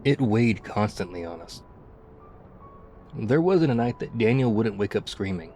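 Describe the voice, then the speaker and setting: A man playing a battle-worn, wistful ex-soldier survivor of a zombie apocalypse, confiding haltingly and reflectively in an intimate ASMR roleplay. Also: fairly low pitch, lively intonation, warm tone, slight background noise. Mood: regretful, sad.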